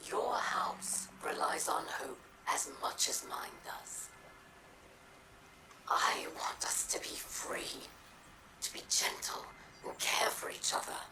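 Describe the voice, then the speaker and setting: An actress voicing a proud, embittered female alien leader in a game cutscene, in a breathy, hissing whisper with a solemn, formal delivery. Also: high voice, heavily acted, rough recording. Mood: bitter, defiant, then yearning. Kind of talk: dramatic video game cutscene acting.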